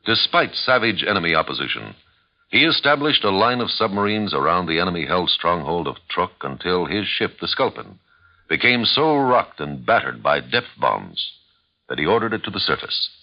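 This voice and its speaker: A man's voice: low voice, sing-song, moderate pace. A man working as an announcer, reading solemn scripted narration in a 1950s radio style. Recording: dull old radio broadcast recording.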